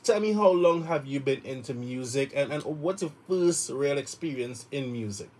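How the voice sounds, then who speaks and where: Man, husky, middle pitch, friendly upbeat radio host, studio mic. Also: lively intonation, moderate pace, darkish tone. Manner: friendly, upbeat, repeats words. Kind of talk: casual radio interview.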